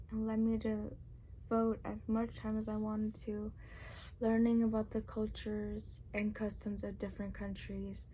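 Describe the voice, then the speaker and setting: An ASMR reading by a soft-spoken woman who often sinks into a whisper. Her delivery is unhurried, with a dark, mellow tone and some vocal fry. A faint background noise sits under the voice. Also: mid-range voice, rough recording. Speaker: ASMR reader. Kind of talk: ASMR reading aloud of a novel.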